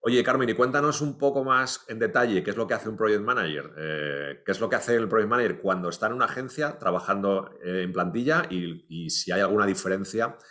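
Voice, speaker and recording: Co-host interviewer: man, very low pitch, informal and conversational. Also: brisk, few pauses, sharp tone.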